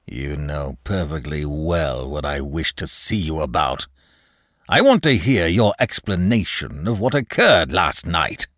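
Male reader as pompous storybook bank manager. Stern, easily angered, scolding, wide pitch swings, dry close sound. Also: sing-song, measured pace, a bit bright, dry recording, heavily acted. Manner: stiff, indignant, scolding formal speech. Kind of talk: audiobook character dialogue.

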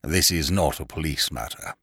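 A fussy older gentleman lawyer as a male narrator plays him, formal and courteous. His intonation swings widely and he can sound reproving. The recording is dry. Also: lowish voice, sing-song, moderate pace, no echo, voice pushed up.

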